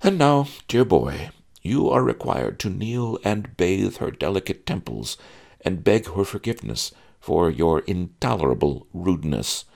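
A man's voice: low-pitched, sing-song, a little slow, gravelly, darkish tone, pitched above the performer's own voice, exaggerated voice. A man playing a weary, resigned henpecked old scholar. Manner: resigned, sighing.

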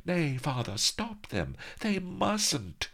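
A man voicing a dainty young noblewoman, high and tremulous. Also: wildly swooping pitch, slow, vocal fry, fairly bright tone, full character voice. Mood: pleading. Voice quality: tremulous falsetto.

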